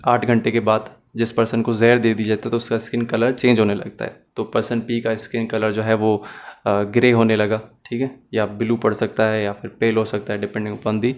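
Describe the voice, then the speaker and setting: Male law tutor, level monotone delivery, methodical explainer who fills pauses with short "uh"s. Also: mid-range voice. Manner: explains step by step, mixes English terms into Hindi. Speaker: law teacher. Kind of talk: recorded law lecture.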